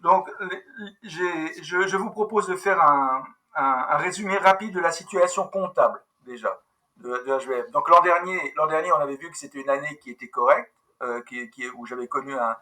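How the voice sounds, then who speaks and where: A man runs a video-call meeting with a very bright, ringing tone. He speaks a little high and keeps going in a brisk, businesslike way, stumbling and restarting as he goes. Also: low-quality recording. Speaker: meeting host, company manager. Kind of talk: online team meeting.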